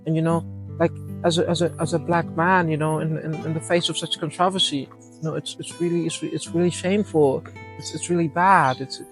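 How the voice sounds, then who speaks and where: A man impersonating a famous pop star in a comedic mock interview, rambling and filling every pause with "you know". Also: on the high side, fairly even intonation, soft background music, natural voice.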